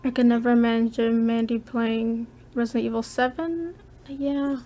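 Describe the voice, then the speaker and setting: A soft-spoken woman hosting a casual gaming podcast from home, chatty and giggly. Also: a little high, steady tone, normal speed. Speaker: podcast host.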